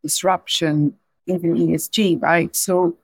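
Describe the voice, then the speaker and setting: A woman's voice: on the low side, steady tone, a little slow, breathy edge, dry recording. A woman in her forties from Belgium with a Belgian accent, not a native English speaker, answering interview questions in a thoughtful way, often restarting and adding "right?". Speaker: podcast guest, insurance executive.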